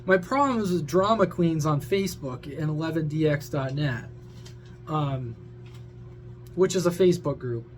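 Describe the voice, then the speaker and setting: Male vlogger, high voice, blunt rambling rant with casual swearing, noticeable hum behind. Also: expressive, moderate pace. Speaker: amateur radio vlogger. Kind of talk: casual opinionated rant to viewers.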